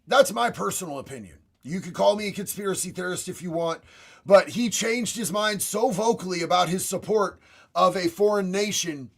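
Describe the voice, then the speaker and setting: Man, young adult, raspy voice, high-pitched, blunt and profane, slangy livestream host reading chat. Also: normal speed. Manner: blunt, profane, slangy, addresses viewers as bro and homie.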